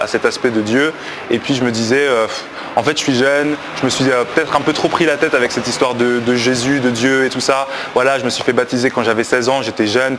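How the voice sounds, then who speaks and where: A man in his twenties from Belgium, telling his personal faith story in a halting, reflective way with many pauses and restarts. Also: mid-range voice, fairly bright tone, slight background noise. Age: 20s. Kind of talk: personal testimony, unscripted.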